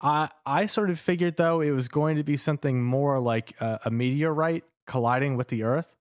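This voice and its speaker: A man's voice: fairly high pitch, moderate pace, dry recording, studio quality. A man musing tentatively as a podcast panelist, speculating in a casual, joking way.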